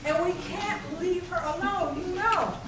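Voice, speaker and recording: A woman with a high voice speaks earnestly to a community gathering, pleading and laughing easily as she rallies people. She is picked up from across an echoey hall, with the murmur of the audience behind her. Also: a little slow. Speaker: library branch manager. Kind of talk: community address. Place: community event in a library hall. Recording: echoey room from far off with crowd.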